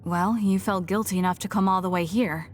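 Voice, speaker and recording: A woman's voice: medium pitch, fairly even intonation, fairly quick, a little hiss or hum, natural voice. A woman playing a young, guarded female soldier in a video game, speaking in a blunt, matter-of-fact way. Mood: matter-of-fact, probing. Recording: studio voice under loud game music.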